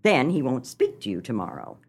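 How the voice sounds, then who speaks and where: A woman's voice: extremely low pitch, huge pitch swings, brisk, slightly rough, full character voice. An actress playing a flustered, theatrical small-town wife in a comic radio play, exclaiming and fretting over her social standing. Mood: gleeful, fussing. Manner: theatrical, exclamatory, dramatic sighing.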